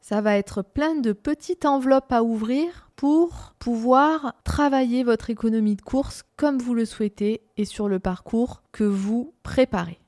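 A woman's voice: on the high side, measured pace, few pauses, darkish tone, no room sound, clean studio sound. A young woman hosting a running show, explaining things in a casual, thinking-aloud way with frequent hesitations and restarts. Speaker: running podcast host.

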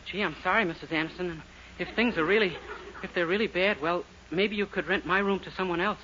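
An actor playing a naive, earnest, lovesick young boarder in a 1940s radio comedy. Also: male voice, high-pitched, fast, bright, forceful tone. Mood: meek, innocent. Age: young adult. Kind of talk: 1940s radio sitcom acting. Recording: noisy, muffled 1940s radio recording.

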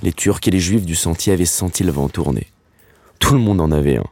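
A man. He narrates a scripted first-person story. His delivery is wry and slangy, broken by dramatic pauses. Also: sits low, darkish tone, dry recording, studio quality. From Paris, France. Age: 30s. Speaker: narrator telling his own life story.

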